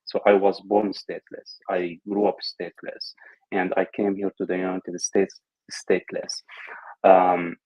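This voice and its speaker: A man who grew up in Syria, a guest in an interview, speaking haltingly with many restarts and repeated words. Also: low voice, flat delivery. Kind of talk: conversational interview. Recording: dull lo-fi audio.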